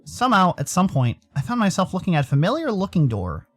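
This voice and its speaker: American male streamer for visual novel narration. Lively, varied intonation, casual asides. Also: high-pitched, fast. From Arizona, United States.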